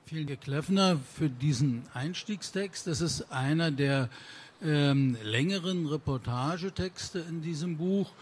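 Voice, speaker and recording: Slow, hesitant male moderator. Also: a little high, a little hiss or hum. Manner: hesitant, many filler sounds. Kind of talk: introducing speakers at a book presentation.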